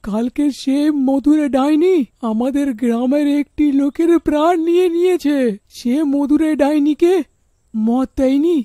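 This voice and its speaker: An anxious cartoon villager, an earnest man acted by a woman. The voice is high yet mellow, with a worried, pleading delivery fit for a fairy tale. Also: dry recording, studio quality. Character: anxious village headman, adult male, earnest.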